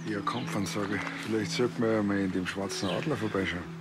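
A laconic village policeman played by a male actor from a TV crime comedy. His voice is low, and loud music plays behind him. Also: steady tone, fairly quick, lightly acted. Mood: dry.

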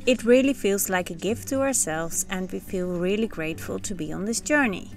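A woman with a Dutch accent reads her sailing-vlog voice-over in a calm, reflective way. She sounds like she is in her thirties. Faint music plays under her words. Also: medium pitch, normal speed. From Netherlands. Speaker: sailing vlogger. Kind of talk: scripted voice-over narration. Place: on a sailboat cockpit under a canopy, outdoors.

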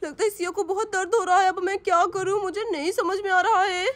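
A woman's voice: extremely high pitch, fairly even intonation, a little fast, fairly bright tone, faint music behind. A woman voicing a careless, boastful young husband in a cartoon story. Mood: panicked.

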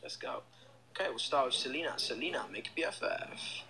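A man's voice: medium pitch, animated, fairly quick, bright, forceful tone, poor audio. A young man chatting casually on a phone livestream in a small indoor room, upbeat and reading out fan comments. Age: young adult. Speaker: band member hosting a livestream. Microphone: phone held close to face.

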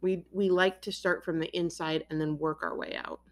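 A woman's voice: fairly low pitch, fairly even intonation, moderate pace. A woman hosting an interview, asking questions and explaining ideas at length with enthusiasm. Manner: enthusiastic, talks in long explaining runs. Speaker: interviewer, host. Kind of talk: casual interview chat.

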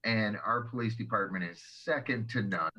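A man's voice: lowish voice, moderate pace, bright, forceful tone, highs cut off. A man who is a longtime city councilor, speaking steadily in a council meeting. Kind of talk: formal meeting remarks.

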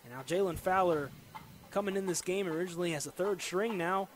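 An American man calling live football play-by-play on the radio with excitable, dramatic delivery. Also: sits high, brisk. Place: football stadium during a live game. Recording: noisy live stadium broadcast.

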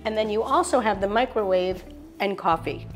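A middle-aged woman with a mid-range voice, talking through a show apartment in an upbeat sales pitch. She reels off finishes and features with polished enthusiasm. Soft music plays quietly underneath. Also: normal speed. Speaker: sales director giving a property tour. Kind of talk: polished real estate showcase tour. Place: show apartment kitchen, indoors.

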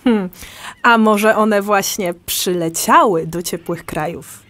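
An actress playing a patient, enthusiastic amateur birdwatcher who explains things in a conversational audio drama. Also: female voice, medium pitch, slow pace, a bit bright. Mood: explaining.

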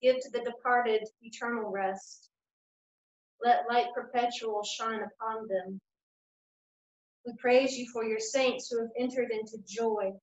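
A woman leading a congregation through liturgical prayers in a measured, steady reading voice, standing at a lectern in a small room at home and streamed over a webcam. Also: fairly high pitch. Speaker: church worship leader. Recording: echoey room, dull lo-fi sound.

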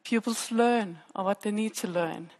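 A woman's voice: mid-range voice, moderate pace, darkish tone. A woman giving an academic conference talk on a headset mic, explaining and restating her phrases. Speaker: PhD researcher presenting at a conference. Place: stage in a large dark auditorium. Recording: echoey hall with long reverb.

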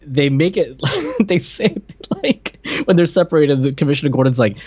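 A man's voice: a little high, expressive, normal speed, darkish tone, breathy edge, no room sound, highs cut off. A man in his thirties co-hosting a podcast, casually recapping a film on a video call. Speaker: podcast co-host. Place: indoor room on a video call.

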